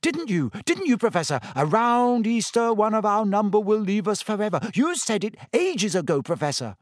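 A man voicing a gushing, excitable teenage schoolgirl who talks in an eager, fawning way. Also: high-pitched, moderate pace, dry recording, studio quality, pitched above the performer's own voice.

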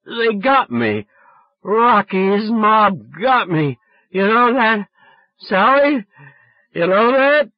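A man voicing a drunk teenage boy on a late phone call, pleading insistently and repetitively in slurred yells, as in an audiobook. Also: high-pitched, lively intonation, extremely slow, long pauses, no room sound, voice pushed up, heavily acted. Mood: maudlin, shouting.

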